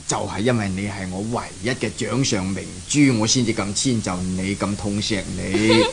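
A man acts out an indulgent middle-aged father with a Hong Kong accent. He speaks in a low, mild, placating voice in 1970s radio-drama style, on an old recording with faint noise. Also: fairly even intonation, moderate pace, close to an everyday voice. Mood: doting, mildly reproving.

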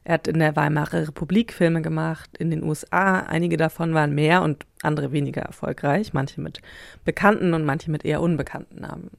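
A narrator reading a scripted introduction to a radio drama in a measured, matter-of-fact way. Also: mid-range voice, steady tone, creaky, no room sound, studio quality.

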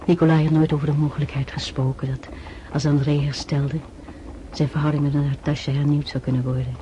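An actress as a devout young noblewoman in a radio drama, with a low, soft-edged voice. She speaks formally and with restrained, anxious feeling, over faint hiss. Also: little pitch movement, brisk, natural voice, poor audio. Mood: reflective, tender. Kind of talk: Dutch radio play acting and first-person narration.

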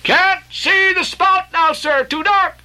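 A man voicing a sailor who shouts reports down from the masthead. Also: extremely high pitch, takes it slowly, pitched above the performer's own voice, exaggerated voice. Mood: shouting. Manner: shouted hail from far up. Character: jaunty male sailor calling down from the masthead.

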